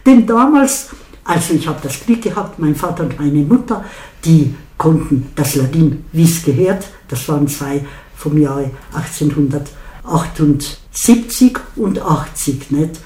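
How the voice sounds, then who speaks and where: An elderly interviewee reminisces with emphasis and little tag questions. The sound is roomy and echoey. The voice sits at a middle pitch. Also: expressive, a little slow, warm tone. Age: older. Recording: echoey room.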